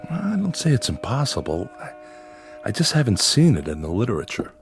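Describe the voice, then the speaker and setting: A man playing a calm, skeptical psychiatrist in a radio drama, speaking in a measured, clinical, reassuring manner. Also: mid-range voice, gravelly, soft background music. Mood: probing, measured.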